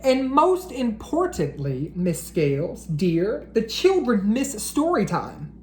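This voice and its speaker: A woman voicing a sweet, gentle female kindergarten teacher in a storybook, coaxing softly. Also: mid-range voice, very animated, takes it slowly, echoey room, a little hiss or hum.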